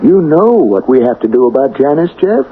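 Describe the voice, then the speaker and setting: Casting: smooth-talking schemer, emphatic and coaxing, mid-pitched with big melodic swings, vintage radio drama sound. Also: male voice, very animated, a little fast. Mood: coldly coaxing. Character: persuasive, calculating small-town conspirator pushing for murder. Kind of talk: 1970s radio drama acting. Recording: tinny, noisy old radio broadcast.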